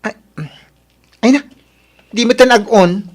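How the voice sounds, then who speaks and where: An actor playing a brazen, evasive, flamboyant borrower in an Ilocano radio drama, dismissive and quick to exclaim indignantly. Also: male voice, extremely high pitch.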